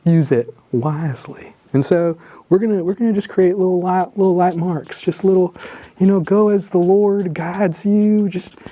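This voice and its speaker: A man leading a drawing tutorial in a jokey, rambling way, full of gentle encouragement and little asides. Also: sits high, normal speed, no echo. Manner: drops to whispers while concentrating on drawing. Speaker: drawing instructor.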